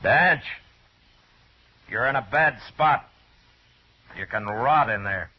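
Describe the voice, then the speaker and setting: A man's voice: mid-range voice, lively intonation, slow, slightly rough. An actor playing a stern, hard-bitten US marshal, terse and grim, in an old radio western that he also narrates. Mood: stern, commanding. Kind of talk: old-time radio western acting and first-person narration. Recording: muffled vintage radio recording.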